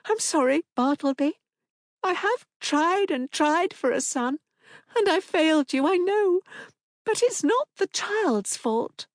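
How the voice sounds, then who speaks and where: A woman's voice: very high-pitched, lively intonation, takes it slowly, dry recording, clean studio sound, pitched above the performer's own voice, heavily acted. A woman voicing a downtrodden, fragile wife and mother who speaks in a tremulous, pleading way.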